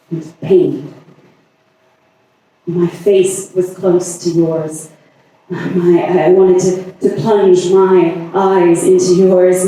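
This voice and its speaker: A man performing a staged monologue as a lovelorn woman haunted by obsessive passion, halting and deliberate with long pauses. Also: sits high, warm tone. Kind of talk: staged dramatic monologue reading. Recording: echoey, distant stage recording.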